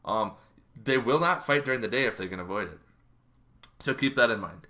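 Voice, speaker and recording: A man in his thirties hosts an informal tabletop gaming explainer. His voice is bright and forward, at an average male pitch. He talks conversationally, as if to fellow players, from his bedroom. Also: lively intonation, fairly quick. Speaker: host. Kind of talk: casual explainer on tabletop game monsters. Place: bedroom, indoors.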